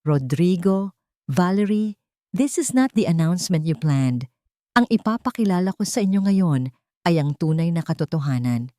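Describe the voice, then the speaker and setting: A woman with a Filipino accent playing a wronged wife turned cold corporate queen in an audio drama, speaking firmly and deliberately. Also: fairly low pitch, animated, dark tone, dry recording, clean studio sound, lightly acted. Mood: commanding, cold. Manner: firm, authoritative, deliberate.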